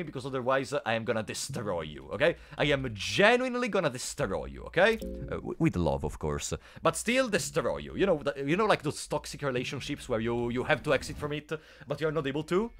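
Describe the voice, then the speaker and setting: A man's voice: fairly high pitch, sing-song, brisk, a bit bright. A young man with a sore throat, chatting playfully with his live chat while streaming a game. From Italy. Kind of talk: casual live-stream commentary.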